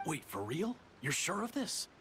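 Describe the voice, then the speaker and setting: A man's voice: on the high side, very animated, moderate pace, pushed and bright, slight background noise, exaggerated voice. A man voicing an indignant, distrustful young soldier in a video game, with an emphatic, grumbling delivery. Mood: indignant, scornful.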